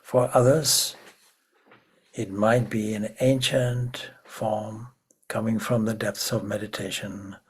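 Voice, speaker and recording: A man with a very husky, breathy voice leads a guided meditation at a very slow pace. His pitch sits on the low side, and he speaks in a hushed, measured way, leaving long pauses between short phrases. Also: level tone. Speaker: meditation teacher, swami.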